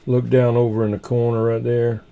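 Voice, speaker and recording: A man with a soft, mellow voice chats casually as a livestream host. He rambles along without hurry, often muttering half to himself. His tone is relaxed and dark, at an ordinary male pitch. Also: normal speed, vocal fry, clear, resonant tone.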